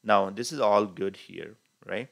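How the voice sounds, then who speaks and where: Low-voiced man with frequent "uh" fillers. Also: gravelly, a bit bright, no echo. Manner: unhurried, many "uh" fillers while clicking through steps. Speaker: tutorial instructor. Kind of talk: screen-recorded software walkthrough. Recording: faint hiss behind voice.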